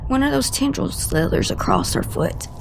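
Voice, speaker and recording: A woman playing a haunted, guarded cryptid agent in an audio drama, telling her story with halting emotion and broken-off sentences. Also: high-pitched, huge pitch swings, gravelly, background noise, exaggerated voice. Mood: anguished, dread.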